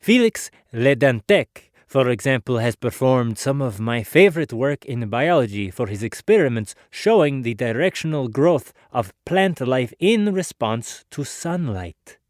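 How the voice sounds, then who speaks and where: A man acts out an earnest male inventor reflecting on his life and ideas in a dramatic biography. His delivery is measured, formal and oratorical, at an average male pitch. The sound is dry, with no room echo. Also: big pitch swings, darkish tone. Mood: reflective, earnest. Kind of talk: dramatic biography narration, formal first-person monologue.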